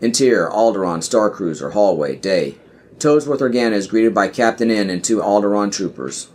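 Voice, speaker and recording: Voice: low-pitched, animated, normal speed, a little mellow. A podcast narrator reading scripted screenplay stage directions.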